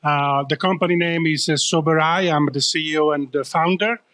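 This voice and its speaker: A man's voice: on the high side, fairly even intonation, normal speed, fairly bright tone. A man with an Italian accent, explaining his company as a founder being interviewed, in an emphatic, explanatory way. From Italy.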